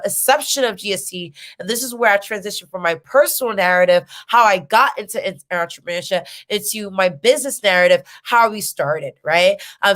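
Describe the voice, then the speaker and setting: Woman, thirties, American accent, founder presenting on a video call, motivational, emphatic delivery, medium pitch. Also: a bit bright. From United States. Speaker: startup founder and workshop speaker. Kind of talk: online presentation to an audience. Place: small indoor room on a video call.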